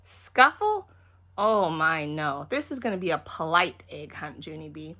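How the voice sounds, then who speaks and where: Prim, genteel storybook mom, acted by a woman reader, fussy and proper, bright tone. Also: deep voice, sing-song, measured pace, full character voice. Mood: shocked, prim. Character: prim, polite, proper mother at an egg hunt.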